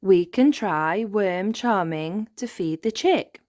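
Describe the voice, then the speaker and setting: A woman's voice: mid-range voice, slow, darkish tone, no room sound, clean studio sound, lightly acted. A woman voicing a wise old male elf in a children's storybook, knowing and emphatic. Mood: instructive. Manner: emphatic, declaiming.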